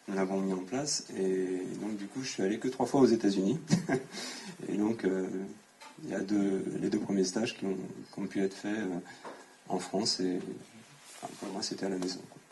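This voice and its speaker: A man, an equine coaching instructor, presenting his training center at a conference in a casual, halting way with many fillers. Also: on the low side, steady tone, dark tone. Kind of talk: conference talk.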